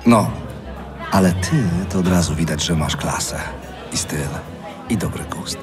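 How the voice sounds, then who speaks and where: Deep-voiced, self-assured man. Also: a little slow, music in the background, a crowd in the background. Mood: flattering, boastful. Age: middle-aged. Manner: smooth-talking, boastful, flattering. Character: self-assured, boastful, flattering well-off man in his forties. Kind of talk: naturalistic audio drama acting.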